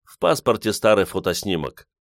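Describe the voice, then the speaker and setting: Guy doing eccentric scientist in his 60s, audiobook, low voice, very polite and sure of himself, dry sound. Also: moderate pace, vocal fry, no room sound. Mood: calm, weighty. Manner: polite, calm, weighty formal speech. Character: courteous, self-assured eccentric male inventor in his sixties. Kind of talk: audiobook character dialogue.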